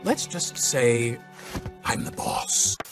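A man voicing a bossy, cynical baby boss in an animated film, curt and deadpan with a gravelly voice. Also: medium pitch, extremely slow. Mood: smug. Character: bossy suit-wearing baby boy, cynical corporate boss. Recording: music under dialogue.

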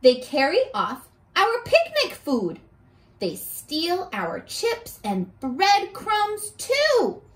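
A woman voicing a dismissive young boy in a storybook, with haughty, drawn-out emphasis. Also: extremely high pitch, huge pitch swings, voice pushed up, exaggerated voice. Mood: scornful. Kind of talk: storybook read-aloud.

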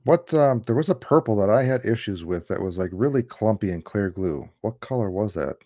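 Low-voiced man co-hosting, noisy line. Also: lively intonation, fairly quick, mellow. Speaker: co-host. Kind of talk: casual live-stream chat. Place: indoors on a video call. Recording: clear background noise, clicks.

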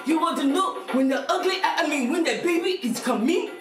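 A woman's voice: sits high, expressive, moderate pace. A woman playing a brash, money-hungry Haitian TV psychic who sells her hotline in a bossy, theatrical, insistent way. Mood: showy salesmanship. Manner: theatrical, bossy, insistent, repeats phrases for emphasis. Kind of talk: comedy skit of infomercial and call-in psychic show. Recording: echoey room, distant mic, music behind.

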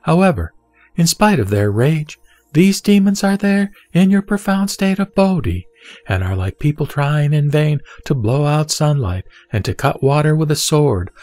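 A man reading the words of the Buddha as a calm, wise teacher, in a measured and solemn scripted recitation. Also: on the high side, no room sound, soft background music, voice pushed up.

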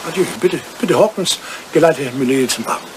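A man's voice: a little high, a little fast, background noise, close to an everyday voice. An older man playing an ageing, cynical English lord in a German radio play, blustering and roguish, often hesitating. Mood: gruff. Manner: blustering, hesitant, tagging questions with "ne?".

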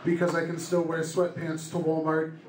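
A young man doing deadpan stand-up comedy in short one-liners into a handheld microphone on a club stage. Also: on the high side, normal speed. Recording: echoey club room with loud noise. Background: loud noise, audience laughter.

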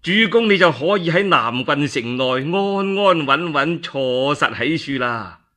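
A man voicing a calm, shrewd military strategist in Cantonese, measured and assured. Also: a little high. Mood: calm, reassuring.

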